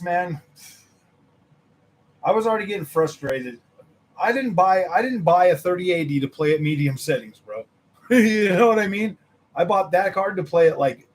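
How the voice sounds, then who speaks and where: A young man hosting a gaming channel, chatting casually with his viewers, animated and laughing, his voice a little worn from nearly losing it. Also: husky. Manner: animated, laughing, mimics others in a high voice. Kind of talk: casual livestream chat with viewers.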